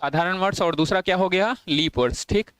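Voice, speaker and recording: A man's voice: sits high, fairly quick, fairly bright tone, clean tone, no echo. A man teaching an online exam-prep lesson, repeating points and asking and answering his own questions, with English phrases mixed into his Hindi.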